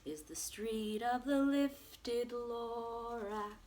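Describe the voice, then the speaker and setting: A woman's voice: fairly high pitch, very slow, darkish tone. A woman teacher reading a rhyming children's storybook aloud with plenty of expression.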